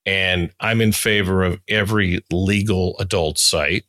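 A man's voice: sits low, fairly even intonation, unhurried, fairly bright tone, no room sound, studio quality. A man talking casually as he interviews a guest as host.

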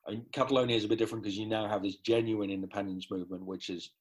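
Low-voiced middle-aged man explaining. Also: steady tone, racing, breathy edge. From grew up in the Netherlands. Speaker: interview guest, football writer. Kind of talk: explanatory interview talk.

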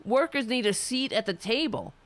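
A woman's voice: on the high side, expressive, normal speed, no room sound. A woman hosting a political show, delivering an impassioned, ranting monologue while losing her voice. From United States. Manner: fiery, ranting, restarts mid-sentence.

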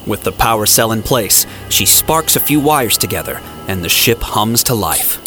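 A man's voice: fairly low pitch, expressive, normal speed, sharp tone, faint music behind. A man narrating an audio drama in a scripted, dramatic storytelling style.

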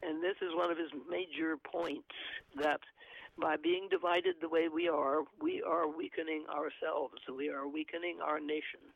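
Gravelly man on a muffled line. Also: on the high side, very animated. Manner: halting, frequent fillers, earnest. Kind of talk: conversational commentary, reading aloud from an article.

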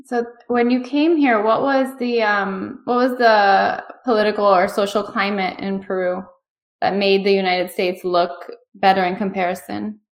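A woman's voice: mid-range voice, fairly even intonation, measured pace. A woman in her thirties hosting a podcast, asking questions in a chatty way and thinking aloud with fillers. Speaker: podcast host. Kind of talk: conversational interview.

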